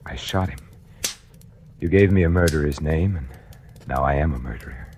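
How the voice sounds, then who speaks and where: An actor playing a weary, tormented painter who narrates and speaks in a 1940s radio drama. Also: male voice, rumbling low, normal speed, a little mellow, a little hiss or hum. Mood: weary, bitter. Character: weary, tormented male painter confessing to murder. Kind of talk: 1940s radio acting, first-person narration and dialogue. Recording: old radio drama recording.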